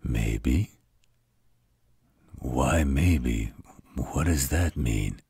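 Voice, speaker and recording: Man voicing a very deep, husky gruff werewolf. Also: expressive, extremely slow, a little mellow, no room sound, clean studio sound, heavily acted. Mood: serious, questioning. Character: gruff, protective male werewolf mate and father figure. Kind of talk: roleplay audio drama acting.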